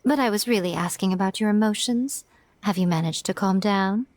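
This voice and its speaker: A woman's voice: medium pitch, a little fast, a little mellow, no echo, close to an everyday voice. A woman voicing a playful, wise female priestess in a game, with an elegant, teasing and amused manner. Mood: warm. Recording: game audio with faint music behind.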